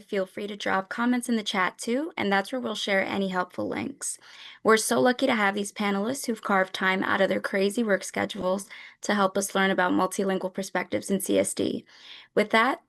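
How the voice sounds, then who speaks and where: A woman's voice: medium pitch, gravelly, fairly bright tone. A young woman who is a student opening an online event as host and introducing the moderators and panel on a video call, upbeat and friendly. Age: young adult.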